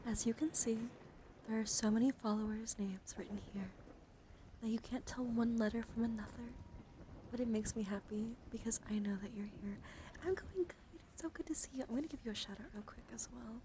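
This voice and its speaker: A woman live streamer doing ASMR, speaking softly and mostly in a gentle whisper to her viewers. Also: a little high, a little fast. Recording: clearly audible background noise.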